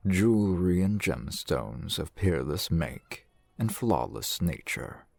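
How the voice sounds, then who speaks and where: Man acting a slow, gravelly, suave fantasy shopkeeper. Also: low-pitched, darkish tone, dry recording, exaggerated voice. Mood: wry, unhurried. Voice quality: gravelly, husky. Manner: measured, formal, wry, silky wording. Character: suave, shrewd, unhurried male fantasy shopkeeper and fence. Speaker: voice actor in audio roleplay. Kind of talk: fantasy ASMR roleplay acting. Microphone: close microphone, dry sound.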